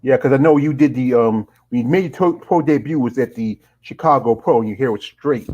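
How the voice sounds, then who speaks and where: A man's voice: mid-range voice, fairly quick, dark tone, highs cut off. A middle-aged man hosting a casual live-stream interview and reading out viewer comments, rambling and leaning on "you know" fillers. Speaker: host.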